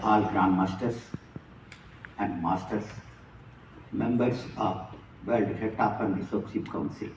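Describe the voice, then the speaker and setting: A man with a Tamil Indian English accent, a grandmaster giving a slow, halting formal speech to an audience. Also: lowish voice, darkish tone, a little hiss or hum. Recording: echoey hall, mic far off.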